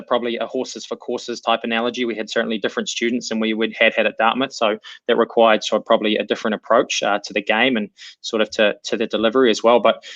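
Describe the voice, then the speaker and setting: A man from New Zealand with a New Zealand accent, an assistant coach answering interview questions in a relaxed, thoughtful way. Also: fairly low pitch, no echo, dull audio. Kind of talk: remote interview.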